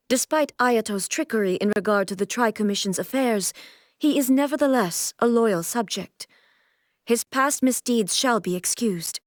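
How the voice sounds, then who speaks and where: Stern goddess ruler, fairly high voice, formal and composed, stately video game delivery, close dry sound. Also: female voice, dry recording. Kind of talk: scripted video game character voice lines.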